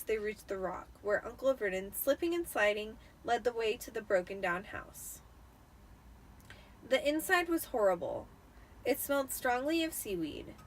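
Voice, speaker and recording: A tipsy woman reading a story aloud in an expressive, dramatic audiobook style, with the occasional stumble. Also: fairly high pitch, poor audio. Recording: noticeable background noise.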